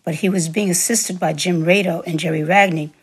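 A woman singer and stage actress, a guest recounting her career in a relaxed interview, laughing often and repeating words for emphasis. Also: fairly low pitch, brisk, slightly rough. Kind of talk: relaxed reminiscing interview talk.

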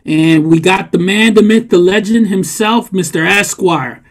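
High-voiced man hosting a podcast. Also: unhurried. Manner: laid-back, joking, stalls on fillers. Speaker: podcast host. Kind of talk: casual podcast chat.

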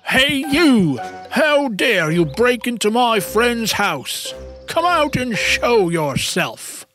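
A woman voicing forest animals in a children's story, with exaggerated, expressive cartoonish delivery. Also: mid-range voice, slow, faint music behind, exaggerated voice. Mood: bold, challenging. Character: forest animals: hesitant fox, rhino, brave cheeky little frog. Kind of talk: children's storybook character acting.

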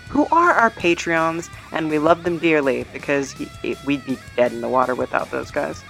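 A woman hosting a podcast, reading out credits and plugs in a casual, chatty, self-deprecating way with sing-song emphasis. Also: low voice. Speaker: podcast host and producer.

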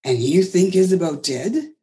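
A woman voicing a protective older caretaker who speaks firmly and chides gently. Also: fairly low pitch, animated, a little slow, pitched above the performer's own voice. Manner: firm, chiding, motherly.

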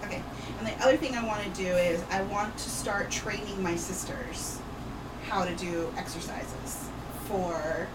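A woman player talking casually at a tabletop game, lively and defiant. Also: medium pitch, measured pace. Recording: roomy, distant, noisy room.

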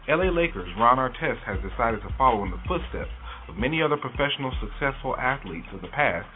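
A man's voice: mid-range voice, expressive, fast talker. A man hosting an entertainment news segment on an internet radio show, reading items in an upbeat newsreader way. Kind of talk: read celebrity news segment. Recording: tinny phone-line internet radio with music.

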